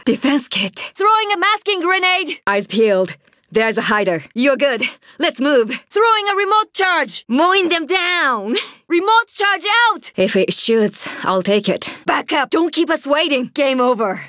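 High, urgent young female survivor. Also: sing-song, measured pace, fairly bright tone, dry recording, studio quality, full character voice. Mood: frantic. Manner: clipped, urgent callouts. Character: young female zombie-apocalypse survivor, tough, urgent, resolute fighter. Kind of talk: video game combat voice lines.

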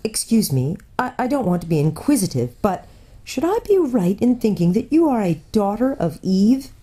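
A woman's voice: medium pitch, very animated, moderate pace, mellow, slightly rough, pitched above the performer's own voice. A woman reading aloud, voicing a shy, fussy little male faun who talks in a flustered, eager and polite way.

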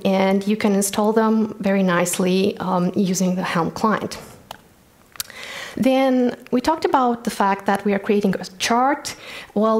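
A woman's voice: mid-range voice, darkish tone. A young woman with a Romanian accent giving a technical conference talk on a large stage, explaining earnestly with frequent ums and restarts. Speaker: conference speaker.

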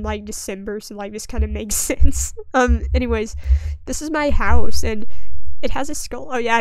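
High-voiced, sniffly young woman gamer. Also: a little mellow, no room sound, low-quality recording. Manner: rambling, self-deprecating, dry humour. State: sniffly, getting over a cold. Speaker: gaming video creator. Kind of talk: casual gameplay commentary.

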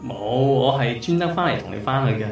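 A man voices the sardonic ghost of a drowned male debtor with a Hong Kong Cantonese accent. The medium-pitched voice is drenched in echo over faint music, mocking and eerie, radio drama style. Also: expressive, a little fast, gravelly. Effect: heavy ghostly echo.

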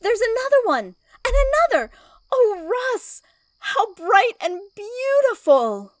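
A woman's voice: very high voice, sing-song, slow pace, no room sound, full character voice, poor audio. A woman acting a dreamy, timid, awestruck sweetheart with breathless, melodramatic delivery in a 1930s radio drama style. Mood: awed delight.

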